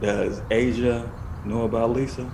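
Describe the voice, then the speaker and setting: A man's voice: mid-range voice, level tone, unhurried, background noise, close to an everyday voice. A man acting in an audio drama as a blunt, tired cop who needles his friend in colloquial, probing talk. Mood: incredulous, scolding.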